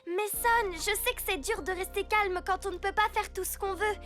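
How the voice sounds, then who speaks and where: An actor voicing an excitable young child superhero in a French children's cartoon dub, emphatic and exclamatory. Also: very high voice, moderate pace. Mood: earnest, rallying. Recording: loud cartoon music behind the voice.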